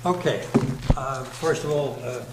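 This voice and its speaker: Loud-voiced man addressing a town board. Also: medium pitch, steady tone, measured pace. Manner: loud, unamplified voice. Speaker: historical society member presenting to the board. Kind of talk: public presentation at a meeting. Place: town hall meeting room. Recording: echoey room with background noise.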